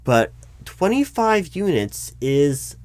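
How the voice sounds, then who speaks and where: A man playing a patient, probing hiring manager in a one-sided interview roleplay, speaking aloud in a halting, improvised way and repeating words. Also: a little high, sing-song, a little mellow, dry recording. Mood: questioning. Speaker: job interviewer. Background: computer keyboard typing.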